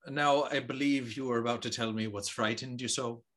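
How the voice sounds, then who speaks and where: An actor playing a courteous gentleman master of a house in an audio drama, formal, kindly and authoritative. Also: mid-range voice, steady tone, moderate pace, natural voice. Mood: courteous concern. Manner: polite, formal, commanding.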